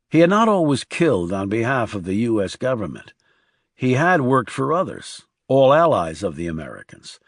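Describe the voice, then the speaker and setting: Man narrating a thriller with animated pitch. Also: no room sound, studio quality. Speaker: audiobook narrator. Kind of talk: scripted thriller audiobook narration.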